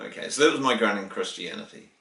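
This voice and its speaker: A man's voice: fairly low pitch, lively intonation, very fast, creaky, pushed and bright, distant mic, slight background noise. A New Zealand man in his late fifties, answering interview questions in a rambling, chatty way with small laughs. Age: 50s. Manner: rambling, with restarts and chuckles. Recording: roomy, voice from the mic.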